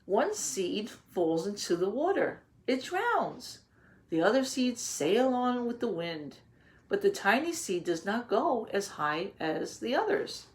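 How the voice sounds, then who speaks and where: Slow-paced woman teaching through a picture book. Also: mid-range voice, very animated. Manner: simple, patient explaining with rhetorical questions. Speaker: teacher reading aloud to young children. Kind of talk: picture-book read-aloud lesson with questions and explanations.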